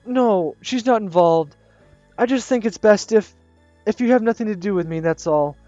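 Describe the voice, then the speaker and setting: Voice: medium pitch, moderate pace, mellow, no room sound, lo-fi sound, faint music behind, voice pushed up, natural voice. A gamer reading a visual novel aloud, voicing a shy teenage schoolgirl who pleads with a wavering voice. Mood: upset.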